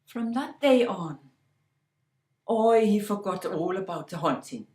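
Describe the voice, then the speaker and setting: A woman telling a fairy tale slowly and deliberately, sometimes dropping to a hushed whisper. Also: mid-range voice, animated, echoey room. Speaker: storyteller. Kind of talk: oral fairy-tale storytelling.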